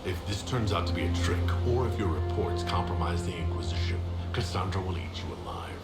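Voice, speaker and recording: An actor playing a serious male commander in a fantasy video game, speaking in a measured, formal way. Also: low voice. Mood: stern. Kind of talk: video game cutscene dialogue. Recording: echoey room, loud music behind.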